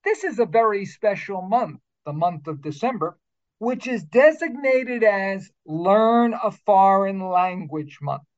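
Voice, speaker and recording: A man's voice: sits high, lively intonation, takes it slowly, muffled recording. Formal upbeat man hosting a show. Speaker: radio show host. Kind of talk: scripted show introduction and interview.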